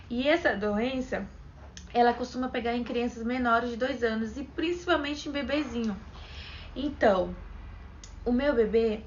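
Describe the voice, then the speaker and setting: A young woman from Brazil chats to her camera as a vlogger, her voice sitting a little high. She retells events in a chatty, conversational way. The sound is dull and lo-fi with a faint hiss behind her. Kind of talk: casual chat to camera.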